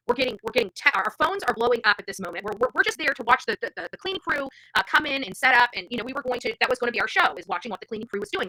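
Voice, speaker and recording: A woman's voice: sits high, sharp tone, dry recording, poor audio. A woman hosting a true crime livestream, telling stories and commenting informally in a brash, feisty, rambling way. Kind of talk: informal live commentary with storytelling and reading aloud.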